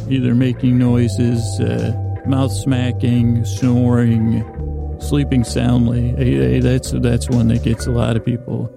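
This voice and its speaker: A man hosting a bedtime podcast in a gravelly voice, rambling in a halting, meandering way and often interrupting himself to lull listeners to sleep. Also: mid-range voice. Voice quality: gravelly, creaky. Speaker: podcast host.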